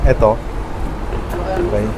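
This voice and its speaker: A man's voice: takes it slowly, heavy background noise. A man with a Filipino accent giving a casual unboxing and demo talk to viewers as a vlogger. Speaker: vlogger, product reviewer.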